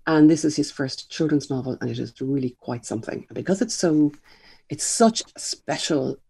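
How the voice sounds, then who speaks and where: Older Irish author, gravelly voice, husky, mellow tone, hesitant and reflective. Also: medium pitch, animated, moderate pace. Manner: thoughtful, hesitant, many ums and restarts. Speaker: children's author and publisher. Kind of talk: reflective interview conversation.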